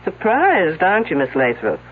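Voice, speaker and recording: An actress playing a sharp-witted, wisecracking woman police detective in a radio mystery, with a wry, confrontational delivery. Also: on the low side, huge pitch swings, normal speed, a little hiss or hum. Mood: wry, accusing. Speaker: radio drama actor. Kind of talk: 1970s radio mystery acting. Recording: narrow, tinny old radio broadcast.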